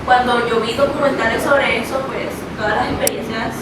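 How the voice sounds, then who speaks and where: A woman's voice: a little high, steady tone, normal speed. A woman, speaking earnestly and rambling a little in an audience discussion. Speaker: audience member. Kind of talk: discussion remark. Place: event hall. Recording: echoey hall from far off, loud noise.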